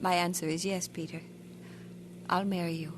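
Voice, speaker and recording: An actress playing a shy young woman student, speaking softly and hesitantly, with small stammers, in a radio drama. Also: fairly low pitch, level tone, moderate pace, background noise, lightly acted. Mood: tender. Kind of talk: 1950s radio drama acting.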